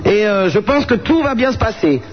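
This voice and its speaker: A woman hosting a live radio call-in show, teasing callers with crude, quick-witted banter. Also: mid-range voice, big pitch swings. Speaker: radio host. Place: shopping centre, live broadcast. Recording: lo-fi noisy radio broadcast.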